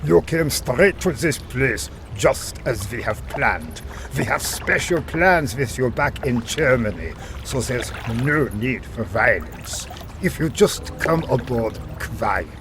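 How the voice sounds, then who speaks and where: A condescending wartime German villain for a video game. He drags his words out at a middle pitch, taunting with false courtesy over clear watery background noise. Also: animated. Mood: smug menace. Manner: slow, taunting, mock-polite.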